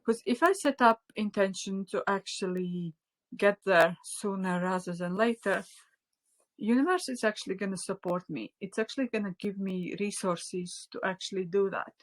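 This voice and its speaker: A woman's voice: mid-range voice, moderate pace. A woman whose first language is Latvian, teaching a live online spiritual class, talking off the cuff with many fillers and restarts, sometimes dropping to a near whisper, recorded on a phone.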